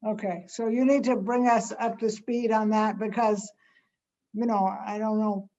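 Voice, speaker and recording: A woman's voice: medium pitch, fairly even intonation, unhurried, dark tone, lo-fi sound. A woman from the United States moderating a webinar in a chatty way, over a video call. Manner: chatty, with restarts.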